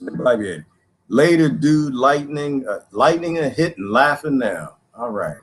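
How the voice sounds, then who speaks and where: A man hosting a casual livestream, rambling and joking with viewers, laughing often, his voice going hoarse. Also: mid-range voice, expressive, normal speed. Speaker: livestream host.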